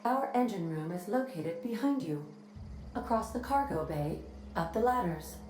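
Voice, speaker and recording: An actress voicing a calm, precise shipboard computer in a science fiction game, speaking in a formal, measured, matter-of-fact way. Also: female voice, mid-range voice, reverberant, a little hiss or hum, natural voice, poor audio. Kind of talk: scripted sci-fi game voice acting.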